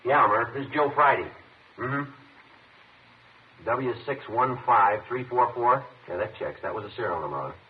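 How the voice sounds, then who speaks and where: A man's voice: mid-range voice, normal speed, slightly rough. A man acting as a terse, level-headed police detective in a 1950s radio crime drama, with clipped, matter-of-fact, understated delivery. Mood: businesslike. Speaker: lead actor and narrator. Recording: muffled, hissy vintage radio recording.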